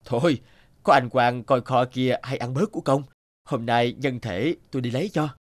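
A man's voice: mid-range voice, normal speed, dry recording, studio quality, natural voice. A man voicing a chivalrous thief in a folk tale cartoon, earnest, plain-spoken and decisive. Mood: earnest, kindly. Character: chivalrous male thief, principled, bold and protective.

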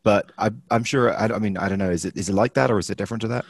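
A man hosting a casual conversation, asking relaxed, curious questions. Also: fairly low pitch, rapid-fire, vocal fry, no room sound, clean studio sound.

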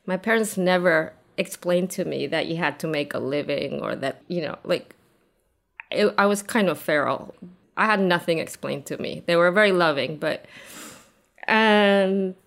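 A woman's voice: fairly low pitch, moderate pace, vocal fry, fairly bright tone. A woman, a photographer giving candid, reflective interview answers with a wry, easy laugh. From Argentina. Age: 50s.

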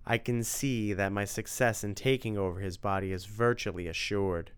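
A man voicing a vain, gloating vampire count in a read-aloud story, with loud melodramatic outbursts. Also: fairly low pitch, steady tone, normal speed, clean tone, lightly acted.

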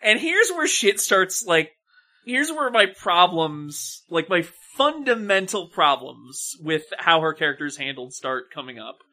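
A woman's voice: on the low side, sing-song, unhurried, pushed and bright. A woman co-hosting a podcast, chatting casually about a movie in an emphatic, exasperated way with plenty of swearing. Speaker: podcast co-host.

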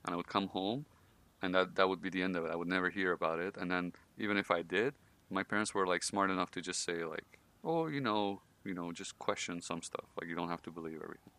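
Low-voiced man telling stories on a podcast. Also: quick pace, pushed and bright. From Iran. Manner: storytelling, many fillers and laughs. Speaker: podcast host. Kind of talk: casual podcast conversation.

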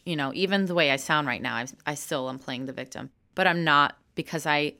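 A woman's voice: low-pitched, sharp tone, clean tone. A woman in her thirties, an actor and comedian, answering interview questions frankly and laughing easily. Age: 30s.

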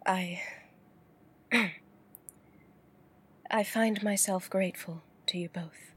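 A woman playing a guarded, alluring female client with a dangerous past in a noir audio drama, speaking softly and with measure. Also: mid-range voice, fairly even intonation, slow pace, slightly rough, full character voice.

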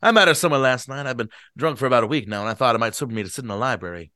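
A man playing an excitable drunk old-fashioned gent. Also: mid-range voice, racing, dry recording, studio quality. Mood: drunk, rambling. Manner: loud, excited, repeats himself. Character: stout drunk middle-aged man, excitable and rambling. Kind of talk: reading a novel aloud.

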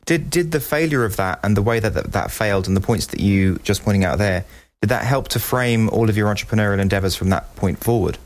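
A man with a somewhat low voice co-hosts a casual podcast interview. He asks follow-up questions and restarts his sentences as he goes. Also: quick pace.

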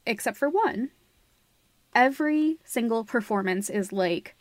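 A woman's voice: fairly high pitch, animated, unhurried, no room sound. Emphatic woman chatting, dry close sound. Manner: emphatic, gushing, repeats phrases for effect. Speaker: podcast co-host. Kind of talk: casual, opinionated discussion of theatre and audio drama.

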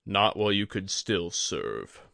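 A man's voice: low voice, sing-song, measured pace, gravelly, bright, forceful tone, no room sound, studio quality, exaggerated voice. A man voicing an earnest, steadfast young male student in a restrained, resolute way for a fantasy audiobook. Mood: composed.

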